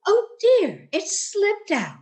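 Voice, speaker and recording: A woman's voice: extremely high pitch, wildly swooping pitch, takes it slowly, a little mellow, slight background noise, heavily acted. A woman playing a motherly older landlady in a radio sitcom, wheedling and guilt-tripping with martyred self-effacement. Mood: coyly martyred.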